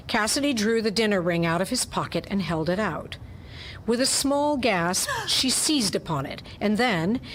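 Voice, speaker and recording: A woman narrates a hardboiled detective story in a scripted, dramatic style. Her pitch is medium for a woman. A little noise runs behind her voice. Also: rough recording.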